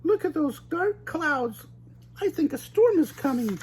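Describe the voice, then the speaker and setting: An older man voicing a brainy, excitable young woman in a high, thin falsetto while reading a storybook aloud. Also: a little slow, full character voice. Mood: worried.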